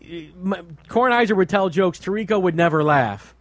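A man's voice: sits high, expressive, normal speed, no echo. A man co-hosting a podcast in casual, profane conversation, rambling and interrupting himself as he gives blunt opinions. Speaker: podcast co-host. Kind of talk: casual chat, reviewing a book.

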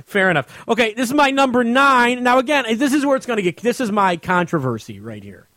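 An American man hosting a casual comedy chat, excitable and insistent about his points. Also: very high voice, brisk, dry recording, studio quality. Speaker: radio show host.

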